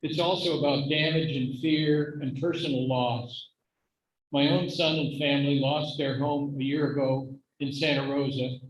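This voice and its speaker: A middle-aged or older man giving an informal, explanatory talk to a congregation in an earnest, measured way. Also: mid-range voice, darkish tone, a little hiss or hum, poor audio. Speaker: guest speaker at a congregation service.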